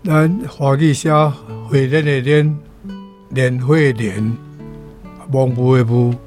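An older man with a Taiwanese accent explaining vocabulary as a language teacher, in short, halting phrases. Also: mid-range voice, fairly even intonation, a little mellow, soft background music.

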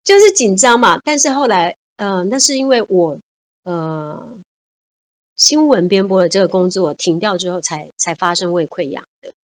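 A woman's voice: medium pitch, moderate pace, dry recording. A woman, a guest chatting casually and animatedly in an interview. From Taiwan. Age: 50s. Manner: animated, laughs easily, many fillers. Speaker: guest, civil servant.

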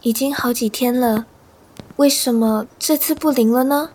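Casting: woman as burdened, scheming schoolgirl for audio drama, high voice, cool but bitter. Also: normal speed, a little mellow, slight background noise, close to an everyday voice. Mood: brooding. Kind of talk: radio drama acting. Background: faint music.

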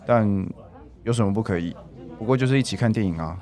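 A man playing a laid-back high school boy in a radio drama, nonchalant and matter-of-fact. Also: fast, warm tone, natural voice. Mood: casual.

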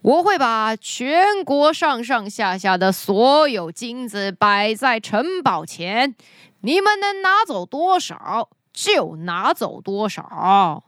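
A woman voicing a proud fairy-tale king who makes measured, formal pronouncements in a children's story. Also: a little high, big pitch swings, fairly bright tone, clear, resonant tone, dry recording, studio quality, exaggerated voice. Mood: pleased, stately.

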